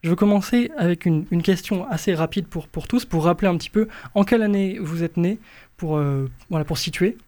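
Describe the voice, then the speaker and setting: Young man, high voice, radio host, casual, hesitant, lots of fillers. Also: brisk, darkish tone. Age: 20s. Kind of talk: casual chat.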